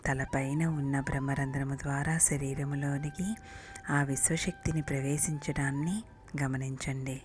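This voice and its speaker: Female meditation guide, notably low pitch, hushed breathy soothing delivery over a music bed.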